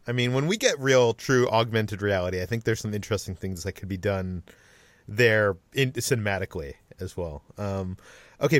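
A man hosting a podcast in a casual, low-key way, passing questions to his co-hosts. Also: dry recording. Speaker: podcast host. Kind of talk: casual chat.

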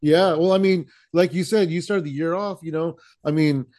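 A man's voice: fairly high pitch, steady tone, normal speed, a little mellow. A man hosting a wrestling podcast, asking questions in a casual, enthusiastic way with lots of "like" and "man". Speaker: podcast host.

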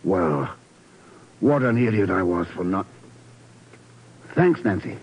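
An actor playing a calm, shrewd investigator in a 1950s radio drama, setting out plans with assurance. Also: male voice, sits low, takes it slowly, slightly rough, exaggerated voice. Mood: thoughtful. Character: calm, shrewd male investigator laying a trap. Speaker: lead actor. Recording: dull vintage radio broadcast recording.

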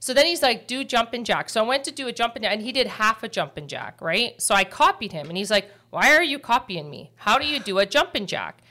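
A woman's voice: a little high, fairly quick, hardly any pauses. A middle-aged woman hosting a mortgage podcast, chatting casually and telling stories with lots of fillers. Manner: chatty, animated storytelling with frequent fillers. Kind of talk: casual chat.

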